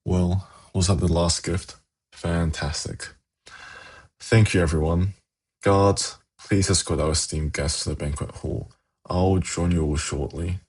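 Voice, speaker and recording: A man playing a suave, haughty demon prince in an ASMR fantasy roleplay, speaking in a measured, formal, silkily condescending way with a gravelly, husky voice. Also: deep voice, fairly even intonation, a little mellow. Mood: lordly, commanding.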